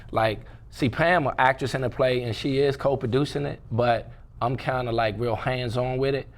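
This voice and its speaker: A man's voice: mid-range voice, fairly even intonation, normal speed. A man talking casually as an interview guest, repeating phrases for emphasis. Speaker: interview guest, stage play producer and entrepreneur.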